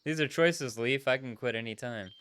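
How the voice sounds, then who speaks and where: A man's voice: medium pitch, normal speed, fairly bright tone, clean tone, close to an everyday voice. A man voicing an earnest, sociable but self-doubting young trainer in a visual novel, casual and hesitant. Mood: playful. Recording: music behind the voice.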